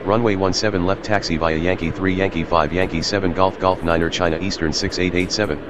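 A man's voice as a simulated pilot, reading back clipped radio calls in a flat, clipped way. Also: sits low, brisk, background noise, close to an everyday voice.

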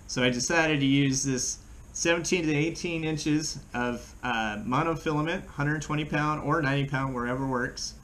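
Higher-voiced American man, halting how-to talk. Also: a bit bright. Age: middle-aged. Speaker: fishing channel host. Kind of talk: casual how-to demonstration. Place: small room at home, indoors. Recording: clear background room noise.

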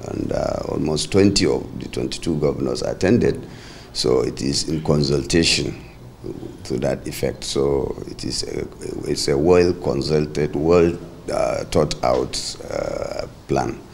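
Very deep gravelly man fielding reporters. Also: takes it slowly, a little hiss or hum. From Nigeria. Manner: firm, brushes off questions, formal. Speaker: party caretaker chairman and governor. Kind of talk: press briefing. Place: indoor corridor, surrounded by reporters. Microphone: many handheld reporter mics.

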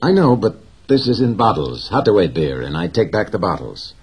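Guy doing a keen young boy, pushed up high and louder, swoopy and lively, a bit husky underneath. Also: moderate pace. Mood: earnest. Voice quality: raised, brighter boyish voice. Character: eager young fisher boy, devoted, earnest.

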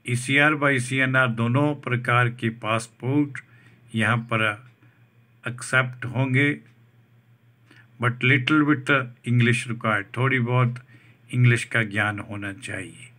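A man reads out a job advertisement over a document, slowly restating each English term in Hindi. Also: medium pitch, steady tone, pushed and bright. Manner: slow, deliberate, restates each point in Hindi after English terms. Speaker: job vacancy channel host.

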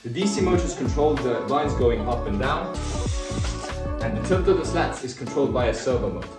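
A man's voice: medium pitch, roomy sound. A young man in his twenties giving an upbeat, scripted narration for a student design video. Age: 20s. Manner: upbeat, playful presenting tone. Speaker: student presenter. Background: background music.

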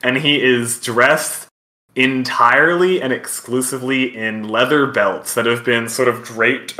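A man improvising narration as game master, in halting, measured phrases. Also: mid-range voice, bright, forceful tone.

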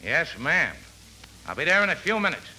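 A man's voice: fairly high pitch, sing-song, moderate pace, full character voice. A man playing a bossy, impatient police detective captain in a 1940s radio comedy. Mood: businesslike. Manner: forceful, commanding. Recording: noisy old 1940s radio recording.

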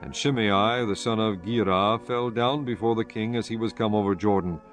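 A man's voice: fairly low pitch, moderate pace, a bit bright, faint music behind. A man reading scripted narration from a dramatized scripture recording.

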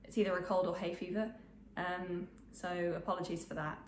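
A woman's voice: lowish voice, level tone, normal speed, echoey room, a little hiss or hum. Snuffly woman chatting to camera. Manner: chatty, rambling, many ums. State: snuffly from a cold. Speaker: sewing vlogger. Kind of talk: casual chat to camera. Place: home sewing room, indoors.

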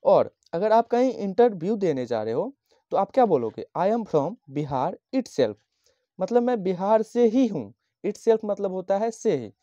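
Slow, patient man from Bihar teaching. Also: high-pitched, very animated, mellow, no echo. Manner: slow, patient, repeats each phrase and dictates English lines. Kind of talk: handwritten English lesson explained in Hindi. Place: at a desk, writing on a notebook page. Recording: dull, lo-fi dry sound.

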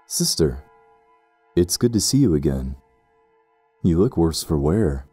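A man playing a sinister, condescending zealot in an audio roleplay drama, speaking in a formal, measured way. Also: very deep voice, very animated, dark tone, husky, no echo, soft background music, heavily acted. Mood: cold, composed. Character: sinister cursed brother, pompous, coldly condescending zealot.

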